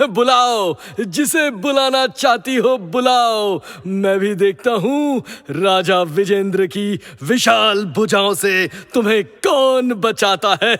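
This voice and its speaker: A man's voice: high-pitched, animated, very slow, fairly bright tone, heavily acted. A man playing a wicked, boastful, mocking king in a theatrical mythological audio drama. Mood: gloating menace. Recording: long hall reverb.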